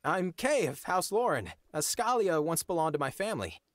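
A man's voice: fairly high pitch, big pitch swings, moderate pace, dry recording. An actor playing an awkward, polite young noble lord in a video game, earnest and hesitant in his speech. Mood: formal. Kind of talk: video game character acting.

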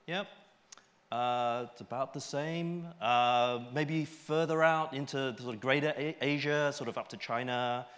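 A man's voice: mid-range voice, a little slow, a bit bright. A middle-aged man with an Australian accent giving an informal conference talk in a conversational way, often checking in with short tag questions. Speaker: conference speaker. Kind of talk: informal stage presentation. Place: large auditorium stage, indoors.

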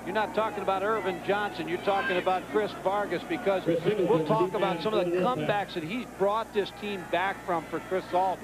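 A man's voice: sits high, fairly even intonation, normal speed, hardly any pauses, over a noisy crowd. A man giving color commentary on a college football game, explaining plays in a coaching style.

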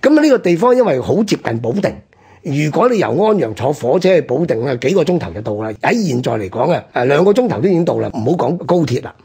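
A man with a Hong Kong Cantonese accent telling history conversationally as a radio host, explaining point by point in a husky, rough-edged voice. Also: fairly high pitch, sing-song, quick pace, mellow.

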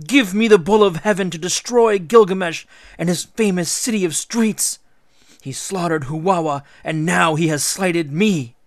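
A man's voice: fairly high pitch, expressive, normal speed, no room sound, clean studio sound, voice pushed up. A man voicing a furious, spoilt goddess in a storybook reading, petulant and demanding, with outbursts and threats. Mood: indignant, spluttering.

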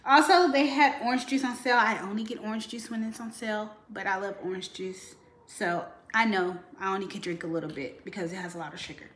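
A woman's voice: medium pitch, a little fast, fairly bright tone. A woman in her thirties chatting casually to viewers in a grocery haul vlog, filmed in her home kitchen. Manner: chatty, addresses viewers directly. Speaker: vlogger. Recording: echoey kitchen with faint noise.

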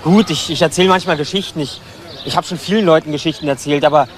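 Guy doing jumpy young homeless crook in a crime drama, highish voice, halting and defensive, faint noise. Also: natural voice. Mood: pleading, agitated. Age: young adult. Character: nervous young homeless petty burglar, pleading to be believed. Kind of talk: German TV crime drama acting.

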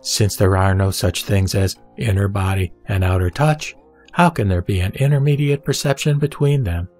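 A man's voice: on the low side, darkish tone. Man formally reciting scripture over music. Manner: measured, formal, didactic. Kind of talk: scripted sutra reading, audiobook narration. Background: background music.

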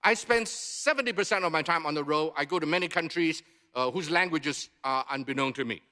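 A man's voice: a little high, pushed and bright. Emphatic Cantonese-accented man in a reverberant hall. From southern China or Hong Kong. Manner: emphatic, deliberate oratory with stressed pauses. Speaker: conference speaker, education advocate. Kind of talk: formal keynote speech with anecdotes. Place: large indoor conference hall, at a podium. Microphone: gooseneck podium microphone. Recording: reverberant large hall through PA.

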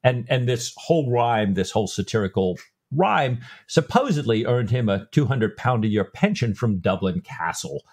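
A man's voice: mid-range voice, animated, normal speed, darkish tone, slightly rough. A man co-hosting a podcast, telling a historical anecdote with enthusiasm. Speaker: podcast co-host. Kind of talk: casual podcast discussion.

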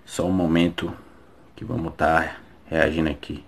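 A man's voice: very deep voice, measured pace. A young man, casually reacting to a rap video from a small room on a webcam. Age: young adult. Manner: relaxed slangy chatter, laughs along. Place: small indoor room with plain wall. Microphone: webcam in front of face.

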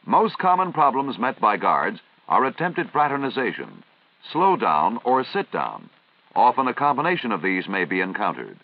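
An American man reading formal, measured, instructional narration in the style of a 1950s military training film. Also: mid-range voice, wildly swooping pitch, no echo. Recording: dull, band-limited vintage film soundtrack.

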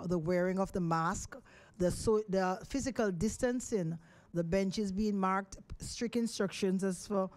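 A middle-aged Jamaican woman hosts a live radio show from the studio. She talks into a studio microphone in a loose, chatty way, with plenty of ums and "of course". Her voice sits at an ordinary pitch for a woman. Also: darkish tone. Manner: chatty, rambling with fillers. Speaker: radio host.

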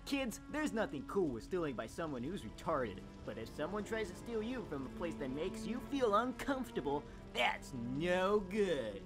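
A woman's voice: fairly low pitch, very animated, moderate pace, hardly any pauses. A woman voicing a cocky, preachy male cartoon hedgehog in an amateur comic dub, smug and lecturing, over music. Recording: background music under the voice.

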